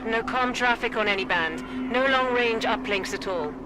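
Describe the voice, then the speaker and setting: A woman's voice: on the high side, normal speed, heavy background noise, natural voice. A woman playing an alert, level-headed soldier in a video game, clipped and matter-of-fact. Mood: alert, measured. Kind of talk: video game cutscene acting. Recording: loud music behind. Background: loud game music.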